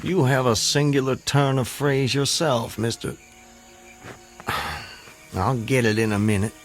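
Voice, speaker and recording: A man's voice: mid-range voice, fairly even intonation, takes it slowly. An actor playing an earnest, haunted man who escaped his hanging, recounting it in formal, vivid language for an audio drama. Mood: defensive, unsure. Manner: literary, vivid, formal narration. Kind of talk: audio drama monologue and dialogue. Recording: music under the voice. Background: music.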